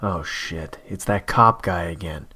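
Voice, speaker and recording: A man's voice: deep voice, unhurried, pushed and bright, breathy edge, faint music behind, exaggerated voice. A man playing a cowed, crude teenage vampire thrall in a horror audio story. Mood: alarmed.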